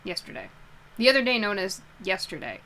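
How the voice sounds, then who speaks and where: A woman's voice: mid-range voice, sing-song, a little fast, sharp tone, slight background noise, poor audio. A woman chatting casually with her live stream viewers from her bedroom before a book reading, a bit nasal, rambling and laughing easily. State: a little nasal. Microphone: headset while wearing headphones.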